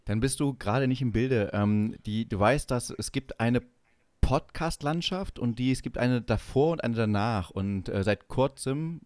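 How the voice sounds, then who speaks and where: A man with a medium-pitched voice, recorded close and dry. He hosts a casual, comic podcast chat and often halts as he searches for the right word. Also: measured pace, darkish tone, dry recording. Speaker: podcast host.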